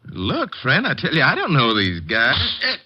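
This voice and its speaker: An actor playing a sneering, slangy racketeer boss with a cowardly streak, in old-time radio crime drama style. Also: male voice, medium pitch, wildly swooping pitch, a little slow, slightly rough, no room sound, full character voice. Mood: defiant. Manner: sardonic, slangy gangster talk. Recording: muffled, dry vintage radio recording.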